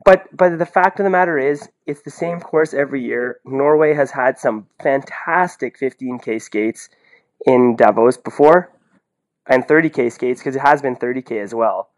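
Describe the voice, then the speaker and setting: A man's voice: mid-range voice, moderate pace. A man talking casually and bluntly about sports as a podcast commentator, incredulous and full of rhetorical questions and the word "like". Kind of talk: casual opinionated sports talk.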